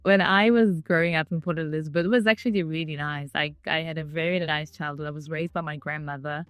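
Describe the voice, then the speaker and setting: A woman's voice: low voice, steady tone, quick pace, fairly bright tone, smooth, dry recording. A woman, telling her story casually with lots of "like" fillers. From South Africa. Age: 30s. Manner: chatty, with many "like" fillers. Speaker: interviewee.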